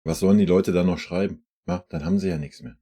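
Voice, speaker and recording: A man with a low, dark voice talks through a press conference clip as a fan. His delivery is casual and conversational, full of little fillers. The tone stays informal throughout. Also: fairly even intonation, quick pace, slightly rough. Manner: chatty, uses fillers like "ja" and "ne". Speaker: fan channel host reacting to a press conference. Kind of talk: casual reaction commentary.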